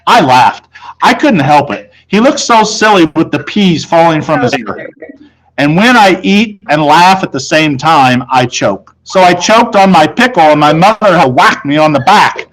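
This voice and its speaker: A man's voice: a little high, lively intonation, a little slow, a bit bright, rough recording. A man reading a children's novel aloud as a teacher would, narrating in the first person.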